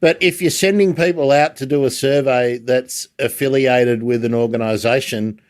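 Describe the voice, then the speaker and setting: A man's voice: mid-range voice, fairly even intonation, normal speed. A man with an Australian accent hosting a casual interview, inquisitive and a little rambling, with a self-deprecating way of talking. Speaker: livestream host and interviewer.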